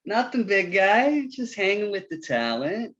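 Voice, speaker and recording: A man's voice: sits high, big pitch swings, unhurried, fairly bright tone, highs cut off, exaggerated voice. An actor at a table read playing a breezy, chummy male movie director, slangy and eager to seem cool.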